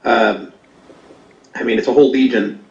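A man hosting a casual comedy chat, rambling with deadpan crude jokes. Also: mid-range voice, moderate pace, dull audio. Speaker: host. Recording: echoey room, off-mic, faint noise.

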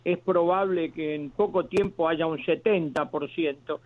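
Un oyente que llama a la radio, con voz de hombre algo aguda. Se traba, empieza de nuevo una y otra vez y habla con sinceridad. Su voz suena metálica y estrecha, a través de una línea telefónica. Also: male voice, fairly high pitch, steady tone. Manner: halting, many restarts, earnest. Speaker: caller. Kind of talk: phone-in call. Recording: tinny phone line.

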